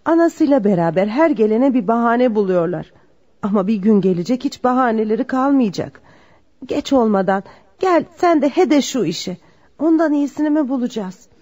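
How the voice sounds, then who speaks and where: High-voiced fond, nagging mother. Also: lively intonation, moderate pace, mellow. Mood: coaxing. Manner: motherly, coaxing, homely endearments. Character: fond, nagging older Turkish mother of grown son. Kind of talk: radio drama acting. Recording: dull lo-fi radio recording.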